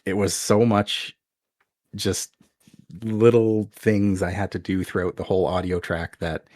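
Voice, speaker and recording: A soft-spoken man chatting casually as an interview guest, conversational and a little self-deprecating about his creative work. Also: deep voice, little pitch movement, unhurried, no echo, clean studio sound.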